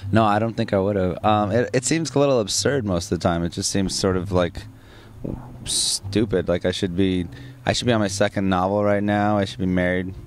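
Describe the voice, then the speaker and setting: Low-voiced man rambling in an interview. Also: fairly even intonation, fairly quick, a little hiss or hum. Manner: rambling, many restarts and fillers. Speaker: singer of a rock band. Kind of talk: casual interview answers.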